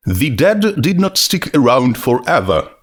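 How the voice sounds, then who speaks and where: A man's voice: medium pitch, big pitch swings, a little slow, breathy edge. A man reading a scripted story aloud as its narrator.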